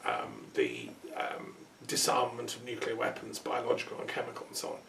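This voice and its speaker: Gravelly, roomy-sounding man hosting a talk. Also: mid-range voice, sing-song, fairly quick, fairly bright tone. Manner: rambling, thoughtful, with frequent ums and asides. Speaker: host. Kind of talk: relaxed conversational interview. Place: peace museum building. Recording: roomy sound from a distance, faint noise.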